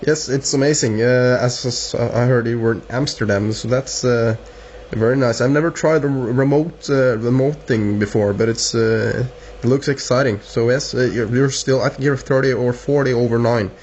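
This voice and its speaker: A man with a Norwegian accent chatting casually as a radio operator, halting and repeating words. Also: medium pitch, steady tone, a little mellow. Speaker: amateur radio operator filming the contact. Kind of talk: casual two-way radio chat. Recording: dull lo-fi camera sound, faint hiss.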